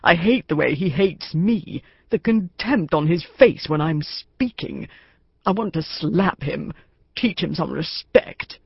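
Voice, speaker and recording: A woman reader voicing a despairing older male company chairman who wails his bitter complaints slowly. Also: mid-range voice, sing-song, vocal fry, husky, no room sound, highs cut off, exaggerated voice. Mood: anguished. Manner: wailing, bitter, slow.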